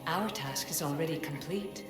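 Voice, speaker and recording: A woman playing a solemn, cryptic ancient guide in a video game, speaking in a measured, formal, oracular way. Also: sits low, close to an everyday voice. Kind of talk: scripted video game drama. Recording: long echoing reverb, music behind.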